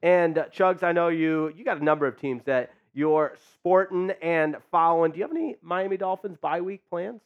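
A man's voice: a little high, normal speed, hardly any pauses, lo-fi sound. A man hosting a live sports chat show, upbeat and hyped, reading out viewer comments. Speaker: podcast host.

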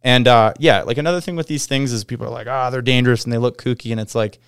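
A man's voice: medium pitch, steady tone, fast talker, fairly bright tone, clean tone, no echo, clean studio sound. A man with a Canadian accent chatting casually as a podcast guest, explaining things enthusiastically in informal surfer slang. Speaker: podcast guest, pro snowboarder.

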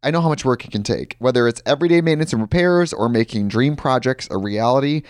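A man's voice: mid-range voice, animated, fast talker, dry recording, studio quality. A man giving a conversational, personal sponsor read. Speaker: ad reader.

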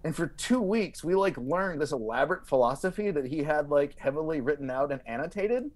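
An American man telling comedic stories casually, in an animated, digressive way, often interrupting himself. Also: on the high side, brisk. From small-town United States. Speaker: podcast guest comedian.

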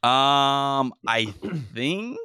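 A man's voice: mid-range voice, expressive, very slow, bright, forceful tone, smooth, dry recording. A man in his twenties co-hosting a casual sports podcast, ranting heatedly with plenty of swearing and fillers. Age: 20s. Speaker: podcast co-host.

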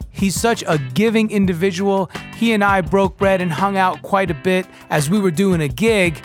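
Enthusiastic podcast host over light music. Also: mid-range voice. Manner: informal, enthusiastic. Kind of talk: casual spoken introduction.

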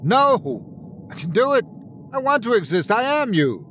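Man acting a frantic, high-pitched desperate doppelganger. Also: very animated, unhurried, fairly bright tone, a little hiss or hum, full character voice. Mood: frantic desperation. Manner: frantic, crying out. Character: desperate male twin double, pleading to exist.

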